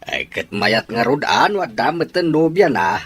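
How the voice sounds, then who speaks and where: A man playing a good-natured, animated character in an Ilocano audio drama. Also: medium pitch, moderate pace, slightly rough. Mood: pleased.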